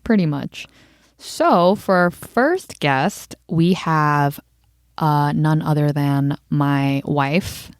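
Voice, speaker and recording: A woman from Hong Kong with a fairly low voice hosts a casual podcast, teasing and laughing often. Her voice sounds dry and close, with no room echo. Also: very slow, clean tone. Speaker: podcast host.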